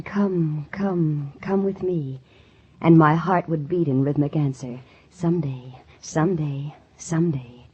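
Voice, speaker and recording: Husky, dreamy, ambitious young country woman. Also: lowish voice, mellow. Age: young adult. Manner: dramatic, wistful, emphatic. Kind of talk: 1940s radio drama acting and first-person narration. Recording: muffled old 1940s radio recording.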